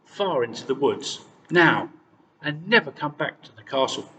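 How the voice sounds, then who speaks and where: A man's voice: on the high side, lively intonation, normal speed, pushed and bright, far from the mic, slight background noise, low-quality recording. A man voicing a kindly, conscience-stricken huntsman in a fairy tale. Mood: urgent.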